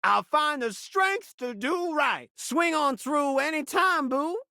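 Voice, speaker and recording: A man's voice: very high-pitched, lively intonation, takes it slowly, pushed and bright, dry recording, clean studio sound, heavily acted. A man voicing a needy, flamboyant talking television set in a video game, speaking in rhyme with theatrical announcer patter. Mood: needy, tender. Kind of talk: rhyming video game character lines.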